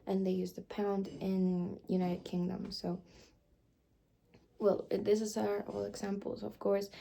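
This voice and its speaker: A young woman doing a whispered ASMR roleplay, speaking softly and hesitantly with many fillers. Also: medium pitch, completely flat delivery, unhurried, gravelly, low-quality recording. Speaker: ASMR creator.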